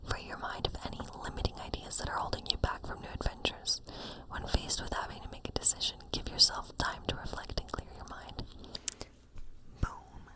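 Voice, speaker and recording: Woman doing asmr witch roleplay, all soft breathy whisper, chatty encouraging tarot reading. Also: fairly quick, rough recording. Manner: informal, encouraging, rambling asides. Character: friendly witch giving a cozy tarot reading. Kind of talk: whispered ASMR role play, loosely improvised tarot reading.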